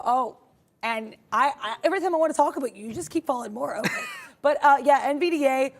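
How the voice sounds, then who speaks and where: A woman's voice: high-pitched, a bit bright. A young woman co-hosting a live trading stream, commenting on stock charts in a casual, playful way and joking with her co-host. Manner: playful, laughs often, makes puns.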